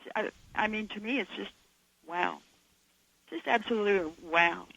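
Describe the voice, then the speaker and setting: A woman from southern Idaho with a hoarse voice, speaking fervently and emphatically as an author guest in a radio interview. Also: medium pitch, normal speed, a bit bright, muffled recording. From southern Idaho, USA. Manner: fervent, emphatic, laughs often. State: hoarse from coughing.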